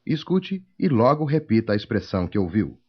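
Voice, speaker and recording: A man who gives calm, instructive directions in a language course. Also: fairly low pitch, quick pace, breathy edge. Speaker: course narrator. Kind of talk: scripted instructions. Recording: dull lo-fi course tape.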